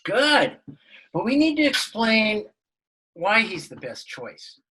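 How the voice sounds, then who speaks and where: A man's voice: high-pitched, wildly swooping pitch, slow pace, sharp tone, slightly rough, exaggerated voice, low-quality recording. A middle-aged man playing a mild, puzzled, well-meaning suburban dad in a sitcom table read over video call.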